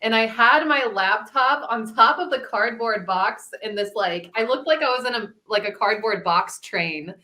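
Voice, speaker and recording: A woman voice actor telling personal stories in a casual, animated, chatty way. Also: fairly high pitch, moderate pace, few pauses. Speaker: voice actor, panel guest. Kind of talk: casual chat, personal storytelling.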